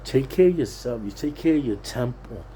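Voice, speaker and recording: A man's voice: mid-range voice, expressive, moderate pace, dark tone, a little hiss or hum. A plain-spoken, streetwise Puerto Rican man giving a casual, rambling, repetitive monologue. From Puerto Rican, living in Pennsylvania, USA. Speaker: vlogger.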